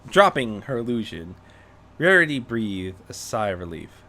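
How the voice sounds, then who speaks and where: Male narrator, story reading, medium pitch, highly animated intonation, bright forceful tone. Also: normal speed. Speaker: fan fiction reader and narrator.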